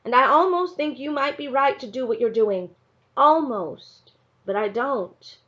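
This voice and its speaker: A woman's voice: high voice, unhurried, a bit bright, dull audio, pitched above the performer's own voice. A woman voicing a weary, earnest mother in a storybook read-aloud, pleading and emphatic. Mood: worried, pleading. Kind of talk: storybook character dialogue.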